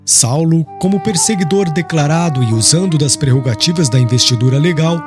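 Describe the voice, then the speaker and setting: A man narrates an audiobook with solemn formality. His voice is a little high and very expressive in pitch, with music clearly playing behind him. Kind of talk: scripted literary narration.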